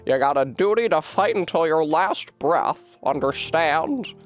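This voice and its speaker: A man voicing a vulgar, fierce tiny old grandmother who talks gruffly and coarsely. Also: a little high, expressive, a little slow, soft background music, pitched above the performer's own voice. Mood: stern.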